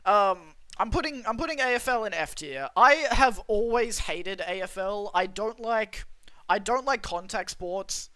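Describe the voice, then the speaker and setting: A man's voice: high-pitched, animated, measured pace, bright, forceful tone, dry recording. A young Australian man streaming, chatting casually with his viewers in a rambling, self-interrupting way. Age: young adult. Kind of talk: casual livestream chat reading chat.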